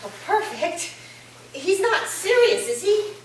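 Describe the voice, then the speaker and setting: A woman's voice: extremely high pitch, big pitch swings, takes it slowly, exaggerated voice. A woman playing a skeptical, irritable college student in a staged play reading, dry and sarcastic. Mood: doubtful. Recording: very echoey room, distant, noisy.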